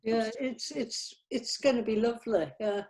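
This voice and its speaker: A woman talking casually in a meeting as a writer, in a tentative, halting manner. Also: on the high side, darkish tone.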